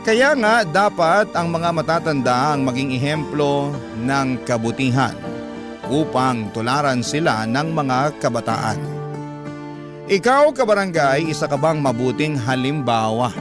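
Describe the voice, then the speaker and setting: A man narrating as a radio drama host, reading a scripted story in measured, earnest phrasing. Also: a little high, expressive. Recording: music behind the voice.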